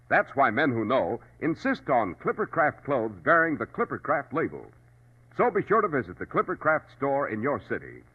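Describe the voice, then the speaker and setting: A man's voice: medium pitch, slightly rough. A man with an American accent announcing in polished, emphatic 1940s radio sponsor style. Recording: dull old radio recording.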